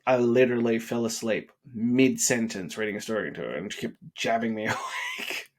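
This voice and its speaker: A man's voice: mid-range voice, animated, moderate pace. Tired, congested man talking earnestly. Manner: earnest, rambling, many fillers. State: congested and sniffly. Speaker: vlogger. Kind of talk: late-night motivational vlog.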